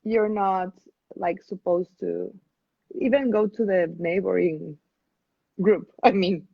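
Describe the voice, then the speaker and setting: A woman from Mexico with a Mexican accent, a guest in a casual conversation, pausing to search for her words. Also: medium pitch, steady tone, gravelly, husky, no room sound, highs cut off. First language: Spanish. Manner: thoughtful, searching for words with fillers.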